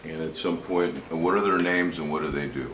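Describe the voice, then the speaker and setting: Very deep-voiced male attorney, echoey room. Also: a little fast, fairly bright tone, slight background noise. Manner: plain, direct questioning. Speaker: attorney questioning a witness. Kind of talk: direct examination. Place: courtroom.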